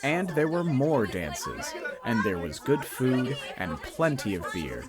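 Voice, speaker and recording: A man's voice: medium pitch, slow, a loud crowd. A young man narrating a student radio drama and then speaking casually to the audience with light humor. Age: young adult. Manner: storytelling, lively, lightly humorous aside.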